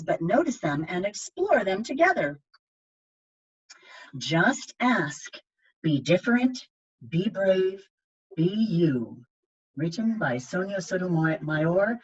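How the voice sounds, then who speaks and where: Clear-voiced lady doing a kids storytime read, really animated, bit lo-fi sound. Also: fairly low pitch. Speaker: library storytime reader. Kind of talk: expressive read-aloud of children's picture books.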